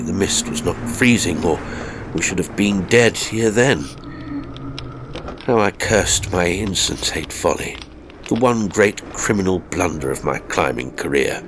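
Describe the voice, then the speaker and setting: A man playing a haunted, seasoned mountaineer telling a horror story in a measured, formal, gravely intense way. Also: low voice, background noise. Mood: grim, tense. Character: seasoned male mountaineer, haunted, grimly recounting a fatal climb. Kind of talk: dramatic first-person horror storytelling.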